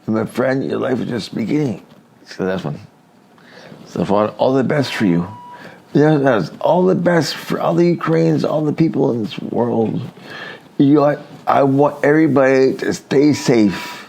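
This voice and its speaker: Effusive man with a gravelly voice. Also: mid-range voice, expressive, moderate pace, a little mellow, a little hiss or hum. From Canada. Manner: effusive, keeps addressing "my friends". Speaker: listener sending a message. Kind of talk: heartfelt spoken tribute. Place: Hamilton, Canada.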